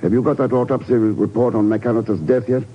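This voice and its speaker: An actor playing a shrewd, unflappable secret agent with a dry, terse manner in a 1950s radio drama. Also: male voice, mid-range voice, quick pace, slightly rough, lightly acted. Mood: businesslike. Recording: muffled old radio recording, faint noise.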